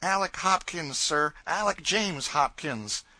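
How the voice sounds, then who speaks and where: A frightened young boy caught stowing away pleads politely, his voice pitched up and lively, as a man puts him on in a storybook reading. The tone is bright and a touch husky, and the recording is close and dry. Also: slow, no room sound, exaggerated voice. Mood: nervous. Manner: timid, polite, eager to please.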